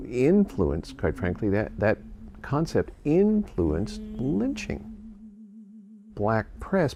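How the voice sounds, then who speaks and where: Slow, swooping-pitched man in interview. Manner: deliberate, halting, emphatic. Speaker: expert interviewee. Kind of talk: unscripted interview commentary. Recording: clear background ambient noise.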